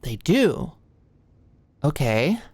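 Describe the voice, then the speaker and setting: A woman's voice: low-pitched, big pitch swings, drawn-out words, breathy edge, full character voice. A young woman playing an anxious, awkward female medical student in an intimate ASMR roleplay, speaking hesitantly and attentively. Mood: careful, nervous. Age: young adult. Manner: nervous, hesitant, stammering, softly attentive. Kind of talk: ASMR roleplay, intimate scripted one-sided dialogue. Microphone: close studio-style mic, dry.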